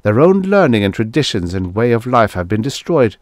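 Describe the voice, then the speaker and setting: A man's voice: mid-range voice, very animated, fairly quick, dry recording, clean studio sound, close to an everyday voice. A man voicing an alarmed spaceman in a science fiction story, pleading urgently. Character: urgent, alarmed male spaceman warning of danger.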